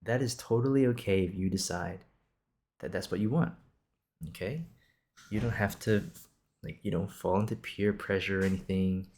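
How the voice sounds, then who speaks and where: A man's voice: deep voice, normal speed, long pauses, darkish tone. A man in his twenties from Singapore hosting an improvised podcast, talking casually in a reassuring, encouraging, conversational way. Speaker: podcast host.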